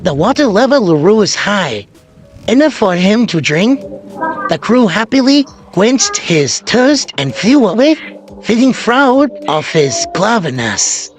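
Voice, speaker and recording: Slow, high-pitched, animated male storyteller. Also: music in the background. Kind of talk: children's fable narration. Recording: noticeable background noise and ambience.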